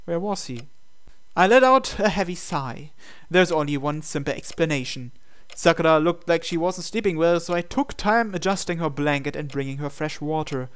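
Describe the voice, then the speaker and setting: Higher-pitched man narrating a game playthrough. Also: expressive, normal speed, a bit bright, clear, resonant tone. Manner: reads prose aloud, breaks into incredulous asides. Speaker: gamer reading a visual novel aloud.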